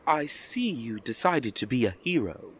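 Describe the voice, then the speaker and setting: A man playing a calm, measured official in an audio drama. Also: medium pitch, slight background noise. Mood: calm, businesslike.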